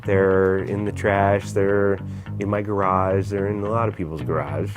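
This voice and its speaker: A man, a sculptor, talking casually in an interview, relaxed and musing. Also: low-pitched, a little slow, music in the background. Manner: relaxed, musing, laughs easily.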